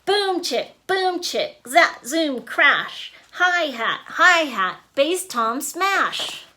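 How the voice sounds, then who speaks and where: A woman reading a rhyming children's picture book aloud in an expressive way, punching out the sound words. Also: high-pitched, extremely slow. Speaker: author and illustrator reading own book.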